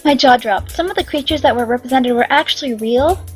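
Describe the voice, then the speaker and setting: A hoarse young woman reading a visual novel aloud, chatty with excitable asides. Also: sits high, a little fast, faint music behind. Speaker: game reader and narrator.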